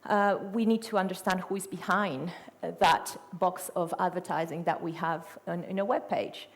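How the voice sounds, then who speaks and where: A woman's voice: fairly low pitch, fairly even intonation, moderate pace. A middle-aged woman from Argentina with an Argentine Spanish accent, a native Spanish speaker, giving a conference presentation full of fillers, self-corrections and rhetorical questions. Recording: reverberant hall.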